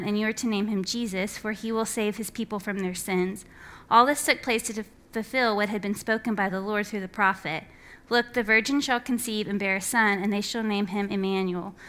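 A woman reading a passage of scripture aloud in a clear, plain storytelling manner. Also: medium pitch, bright, forceful tone. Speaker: scripture reader.

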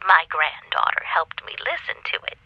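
A woman's voice: lowish voice, very animated, normal speed, dry recording. A woman playing a doting, blunt old lady leaving a folksy voicemail over a telephone line in an audio drama. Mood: sweet, confiding. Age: older. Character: sweet-talking, foul-mouthed old lady caller. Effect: telephone voicemail filter, bleeps. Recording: noisy, band-limited telephone voicemail.

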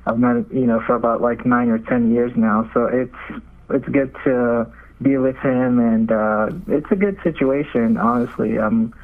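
A man's voice: medium pitch, fairly even intonation, measured pace, narrow, tinny audio. A young man gives casual, hesitant interview answers as a seminarian guest over a remote call. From Nigeria. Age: young adult.

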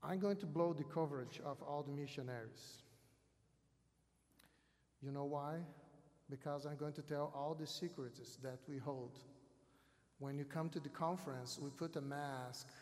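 A man with a South American accent, a non-native English speaker, preaching as a guest missionary in a halting way, repeating phrases for emphasis. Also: a little high, a little mellow. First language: not English. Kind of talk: sermon. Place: large hall or church, speaking to an audience. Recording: long hall reverb over PA system.

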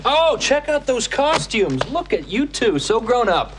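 A man's voice: very high voice, very animated, a little slow, background noise, heavily acted. A man playing a protective, nerdy, dry-witted dad in a sitcom. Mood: fond.

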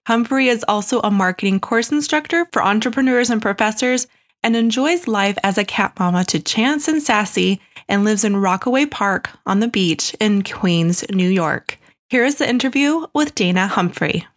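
A woman hosting an interview, reading a guest introduction and asking polite, measured questions. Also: mid-range voice, animated. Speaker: interview host.

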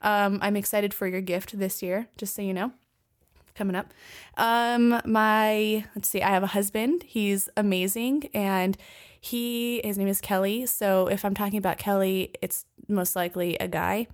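A woman in her late twenties talks as a podcast co-host. Her pitch is average for a woman, and her delivery is casual, chatty and playful. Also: little pitch movement, a little slow. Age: late 20s. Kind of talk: casual chat.

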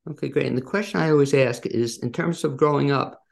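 A man's voice: medium pitch, quick pace, creaky. A man, hosting an interview in a casual, conversational style. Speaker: interview host.

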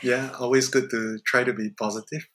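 A man's voice: medium pitch, level tone, moderate pace, a bit bright. A man from Singapore, an artist guest in a casual interview, speaking thoughtfully and hesitantly with many fillers.

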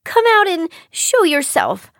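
A woman voicing a curious, excitable young girl who exclaims and asks eager questions in a children's story. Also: extremely high pitch, takes it slowly, dry recording, clean studio sound, voice pushed up, heavily acted. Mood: startled.

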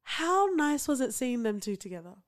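A woman's voice: high voice, sing-song, normal speed. A young woman co-hosting a podcast, chatting casually about a TV show, laughing often and using lots of fillers. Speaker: podcast co-host.

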